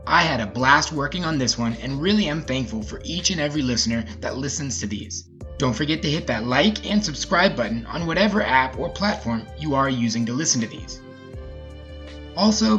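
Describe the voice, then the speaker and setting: A man's voice: medium pitch, fairly bright tone, lo-fi sound. A man hosting a story podcast, speaking as an informal, easygoing storyteller. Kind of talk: story narration and casual chatty commentary.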